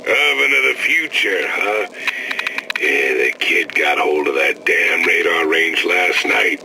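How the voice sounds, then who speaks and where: A man's voice: sits low, lively intonation, takes it slowly, full character voice. An actor playing a wry, exasperated father grumbling casually and dryly in a game audio diary. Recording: noisy old audio-diary recording.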